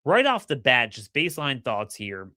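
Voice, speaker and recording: A middle-aged man hosting a solo political commentary show, slightly hoarse, energetic and opinionated, often repeating words as he starts a sentence. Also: medium pitch, moderate pace, dry recording, studio quality. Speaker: podcast host.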